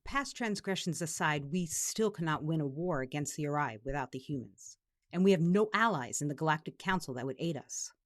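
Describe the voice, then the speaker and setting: A woman playing a pragmatic vampire council elder in an audio drama, measured and formal. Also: sits low, animated, no room sound, clean studio sound. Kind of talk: dramatic audio fiction acting.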